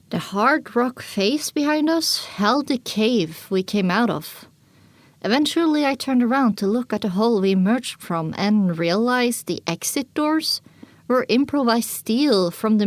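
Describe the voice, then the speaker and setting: A woman's voice: mid-range voice, dry recording. A woman reading scripted first-person dramatic narration for an audiobook.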